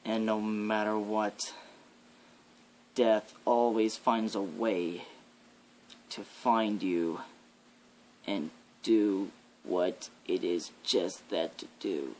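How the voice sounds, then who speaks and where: A man voicing a menacing devil in an ASMR horror roleplay, with an ominous, drawn-out, theatrical delivery. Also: on the low side, fairly even intonation, darkish tone, slight background noise. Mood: brooding menace. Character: menacing devil, a living nightmare preaching fear and death.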